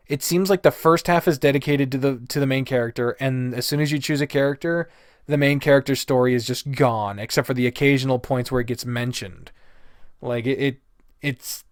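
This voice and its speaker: A man's voice: mid-range voice, no echo, studio quality. A man delivering a casual, blunt, exasperated rant full of swearing, as a reviewer giving his opinions. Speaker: reviewer of visual novels.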